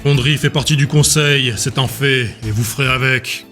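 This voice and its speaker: A man's voice: moderate pace, bright, forceful tone. A man voicing a stern, authoritative council president with a strong voice and a formal, impatient manner in an audio drama. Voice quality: strong booming voice. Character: stern, commanding, scarred male council president. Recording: music bed behind the voice.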